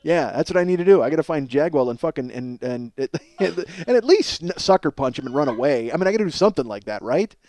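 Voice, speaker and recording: Excitable high-voiced man ranting comedically. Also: quick pace, few pauses. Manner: excitable, rambling, profane. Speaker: podcast host. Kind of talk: comedic ranting monologue, casual chat.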